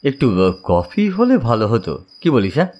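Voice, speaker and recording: A man's voice: medium pitch, wildly swooping pitch, normal speed, lo-fi sound. An actor playing a sceptical but reassuring young city man in a horror audio drama. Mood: casual. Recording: loud music over the voice.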